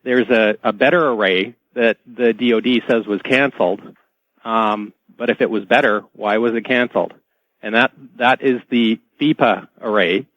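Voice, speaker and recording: A man speaking as an expert guest on a radio show, explaining technical details in a halting way. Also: fairly low pitch, no room sound. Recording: dull band-limited radio call audio.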